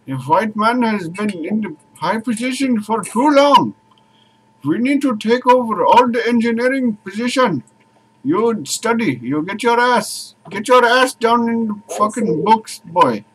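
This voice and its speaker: A man's voice: sits high, expressive, unhurried, noisy background. A man doing a brash, boastful character with a put-on Indian accent, swearing and mock-threatening in stilted phrasing. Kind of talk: crude improvised comedy bit.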